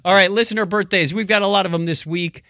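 A man's voice: high voice, fairly even intonation, fast talker, bright, forceful tone, no room sound, studio quality. A man hosting a podcast with playful, theatrical announcing and casual comic banter. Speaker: podcast host.

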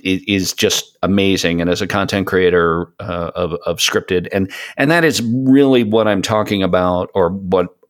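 A man talking reflectively as a podcast co-host, hesitant, often stammering on the starts of words and pausing mid-thought. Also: low voice, steady tone. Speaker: podcast co-host, audio content creator. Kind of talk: reflective casual podcast conversation.

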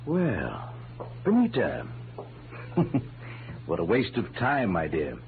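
An actor playing a smug, suave, mocking blackmailer in a 1940s radio crime drama, on a muffled old recording. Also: male voice, fairly low pitch, huge pitch swings, takes it slowly, a little hiss or hum, full character voice. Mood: smug, gloating. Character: smug, gloating male blackmailer.

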